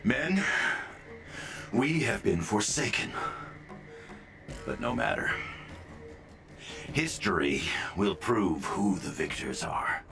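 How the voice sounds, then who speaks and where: Pompous fanatic villain: low, slow, theatrical menace, gravel throughout, scored like a game cutscene. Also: male voice, lively intonation, exaggerated voice. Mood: menacing rallying. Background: dramatic game music.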